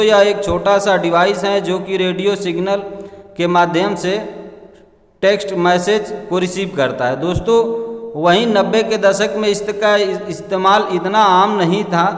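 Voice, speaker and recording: High-pitched man narrating, echoey. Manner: halting read-aloud delivery, often addressing listeners as friends. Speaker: presenter narrating an explainer. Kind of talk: scripted explainer narration. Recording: echoey room with long reverb.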